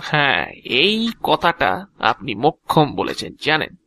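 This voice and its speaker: Audio drama role: sly, fussy messenger of death played by a male actor, gravelly, slow and theatrical. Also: medium pitch, big pitch swings, pushed and bright, exaggerated voice. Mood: chatty, sly. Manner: theatrical, unhurried, muttering and humming to himself. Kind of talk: Bengali audio drama acting.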